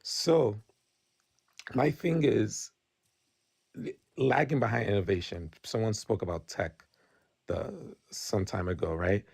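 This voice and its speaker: A man with a low, gravelly voice, who grew up Nigerian, speaks bluntly in a casual podcast debate. He restarts his sentences often and keeps checking in with "right?". The sound is dry and close to the mic. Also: slow pace, pauses often, a little mellow, dry recording. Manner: candid, blunt, frequent restarts and "right?" tags.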